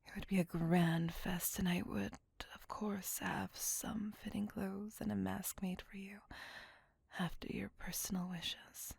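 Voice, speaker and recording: A woman voicing a lovesick, possessive vampire lady in an ASMR roleplay, courtly and formal, in a breathy whisper. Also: mid-range voice, level tone, a little slow, darkish tone, no room sound, exaggerated voice. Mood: courtly, coaxing. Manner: formal, old-fashioned, courtly wording. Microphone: close microphone.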